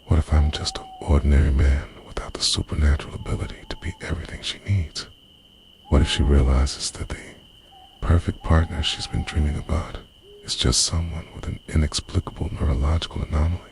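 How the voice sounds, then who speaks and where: A man narrates a scripted romantic ASMR roleplay in a hushed, breathy, confessional voice that often slips into a whisper. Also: very deep voice, level tone, fairly quick.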